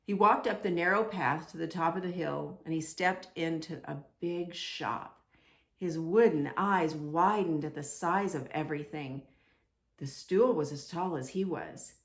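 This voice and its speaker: A woman reading aloud to children in an expressive storytelling style, with deliberate emphasis on simple phrases. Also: sits low.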